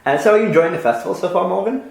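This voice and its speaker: A man's voice: high voice, lively intonation, racing, vocal fry, slight background noise. A man hosting a podcast in casual chat, rambling with many fillers and restarts and laughing easily. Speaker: podcast host. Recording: echoey room.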